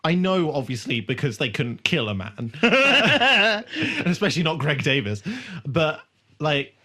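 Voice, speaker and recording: A man's voice: on the high side, wildly swooping pitch, unhurried, pushed and bright. A man co-hosting a podcast, chatting casually and jokily about a TV episode with plenty of swearing. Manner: sweary, jokey. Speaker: podcast co-host. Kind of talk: casual chat recapping a TV episode.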